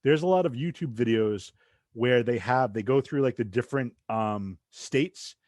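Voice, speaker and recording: A man's voice: medium pitch, normal speed, darkish tone, no room sound, clean studio sound. A man from southeastern Massachusetts hosting a podcast, with a light Massachusetts accent and a rambling, loose way of talking full of asides. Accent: light Massachusetts, drops R's when fast. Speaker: podcast host. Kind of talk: casual interview chat.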